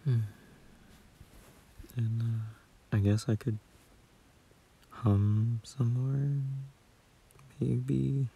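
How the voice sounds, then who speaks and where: Man playing a very mellow, husky, doting boyfriend. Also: mid-range voice, level tone, full character voice. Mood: sleepy, hesitant. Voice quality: husky, soft, breathy murmur. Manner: slow, hesitant, gentle. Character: gentle, shy, doting young boyfriend soothing his partner. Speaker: audio roleplay performer. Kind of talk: soft intimate sleep-aid roleplay. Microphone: close phone mic.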